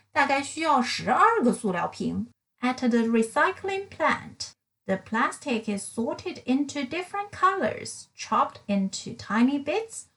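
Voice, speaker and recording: Female read-aloud narrator for children's science books: higher-pitched, lively intonation, careful enunciation. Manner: slow, careful enunciation, bilingual English and Mandarin. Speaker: picture book reader and narrator.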